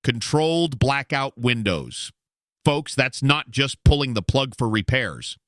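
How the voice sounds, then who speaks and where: A man's voice: medium pitch, expressive, measured pace, a bit bright, no echo, studio quality. A man playing a brash, showy conspiracy radio host in an audio drama, with an emphatic, folksy announcer delivery. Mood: grave, intrigued. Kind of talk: bombastic talk radio hosting in an audio drama.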